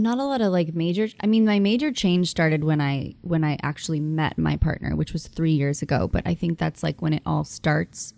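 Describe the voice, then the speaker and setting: Woman podcast host, about thirty, with a low and mellow voice. Thoughtful and rambling, full of fillers. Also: creaky, no echo. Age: 30s. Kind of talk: casual conversation.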